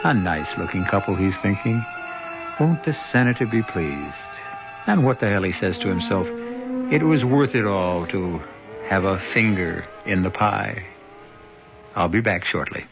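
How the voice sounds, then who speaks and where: An actor playing a wry, knowing storyteller who hosts and narrates a 1970s radio mystery drama. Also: male voice, sits low, animated, normal speed, vocal fry. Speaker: host narrator. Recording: dull old radio broadcast, music behind.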